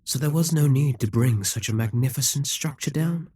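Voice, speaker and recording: A man's voice: mid-range voice, moderate pace, a little mellow. A man voicing a possessive, scheming male demon king in an audio roleplay, speaking in a mocking, menacing way. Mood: gloating.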